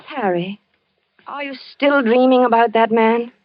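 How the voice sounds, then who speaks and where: An actress in an old-time radio drama playing a worried, solicitous wife who coaxes and pleads. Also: female voice, fairly high pitch, a little slow, lightly acted. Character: worried, coaxing wife of a troubled man. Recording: muffled vintage radio recording with music.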